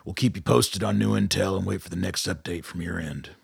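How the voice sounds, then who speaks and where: Grumpy army colonel in a radio play, super raspy low voice, blunt, dry close sound. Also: male voice, fairly quick, no echo, full character voice. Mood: placating. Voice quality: very gravelly, husky. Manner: blunt, irritable, swearing. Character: gruff, foul-mouthed army colonel, short-tempered but shrewd. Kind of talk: audio drama acting.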